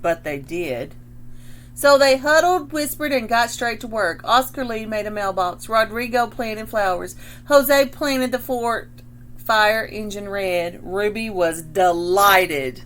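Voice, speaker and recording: A woman teacher reading a picture book aloud to children and explaining it as she goes, with pauses and ums. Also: medium pitch, fairly bright tone.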